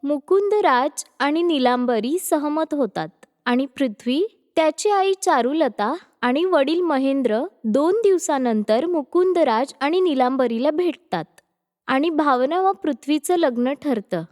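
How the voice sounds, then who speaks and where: A woman's voice: high voice, animated, unhurried, a little mellow. A woman narrator reading a scripted moral story. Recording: long reverb on voice.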